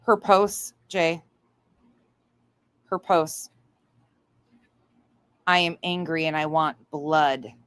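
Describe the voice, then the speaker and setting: Female livestream commentator, lower register, indignant and foul-mouthed, recorded close and dry. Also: extremely slow, long pauses, a bit bright, clear, resonant tone, no echo. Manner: blunt, indignant, heavily swearing. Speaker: livestream host. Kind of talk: livestream commentary reacting to another creator, chatting with viewers.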